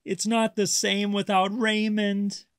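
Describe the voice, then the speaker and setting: Man doing a high-pitched, sulky little boy shark. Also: flat delivery, takes it slowly, dry recording, clean studio sound. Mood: pouting. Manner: plaintive, childlike pouting.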